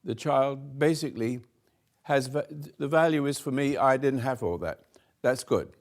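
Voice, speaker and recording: An older man slowly giving a rambling spiritual talk to a room, halting and restarting, swinging between soft and loud. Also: medium pitch. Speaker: spiritual teacher hosting a talk.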